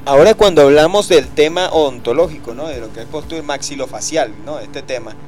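A man's voice: on the high side, brisk, a little mellow, soft background music. A man hosting a casual radio talk show, chatty and playfully joking. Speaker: radio host.

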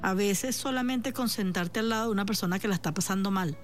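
A woman with a Venezuelan accent speaking on the radio as a therapist, calm and reassuring, addressing the listener directly. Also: medium pitch, brisk, faint music behind. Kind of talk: supportive radio talk.

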